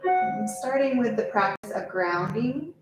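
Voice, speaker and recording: A woman yoga teacher leading a guided meditation in a gentle, unhurried way, with short, spaced-out phrases. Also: medium pitch, lively intonation. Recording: very echoey, music under the voice. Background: music.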